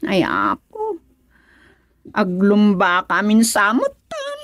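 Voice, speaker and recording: A woman's voice: mid-range voice, huge pitch swings, slow pace, fairly bright tone, exaggerated voice. A woman playing a fretful village mother in a radio comedy drama. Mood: flustered, pleading.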